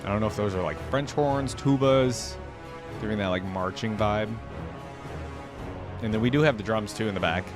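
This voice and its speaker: Low-voiced man reacting to game videos. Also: normal speed, pauses often, over music. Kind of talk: casual reaction commentary.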